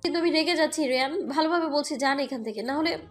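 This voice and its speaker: A woman's voice: high-pitched, fast talker, close to an everyday voice. A woman playing a hurt, defiant young mother who narrates her own romantic audio story, with an emotional, scolding manner. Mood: warning. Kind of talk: first-person Bengali audio story narration and dialogue. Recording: music bed behind the voice.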